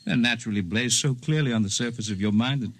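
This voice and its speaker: An actor playing a calm, erudite alien healer in a 1950s radio drama, speaking in formal, precise, gently condescending phrases. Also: male voice, mid-range voice, fast, slight background noise, lightly acted. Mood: aloof, measured. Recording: 1950s radio broadcast recording.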